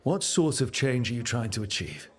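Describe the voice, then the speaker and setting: A man's voice: medium pitch, sing-song, normal speed, breathy edge. A man playing a level-headed adventurer hero in a fantasy game, sincere and questioning. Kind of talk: dramatic fantasy game acting. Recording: music under the voice.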